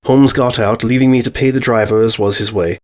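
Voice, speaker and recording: A man's voice: medium pitch, fairly even intonation, a little fast, darkish tone. A man narrating a scripted short story as its narrator.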